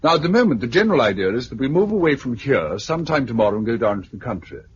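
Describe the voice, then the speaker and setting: A man with a British accent playing a brisk, affable organiser of survivors in a 1950s radio drama. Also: medium pitch, very animated, husky. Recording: muffled, hissy 1950s radio recording.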